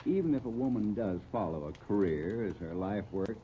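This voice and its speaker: An actor playing a genial, bashful older uncle in a 1930s radio serial, with a hesitant, folksy, stammering delivery. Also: male voice, on the low side, animated, moderate pace, warm tone, natural voice. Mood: gently curious. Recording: crackly, tinny 1939 radio disc recording.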